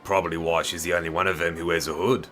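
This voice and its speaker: Guy doing a nasty gruff villager thug, deep and really sharp, menacing, bit of music behind. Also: level tone, fast. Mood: cruel mockery. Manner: gruff, foul-mouthed, menacing. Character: surly, bitter, crude male village thug.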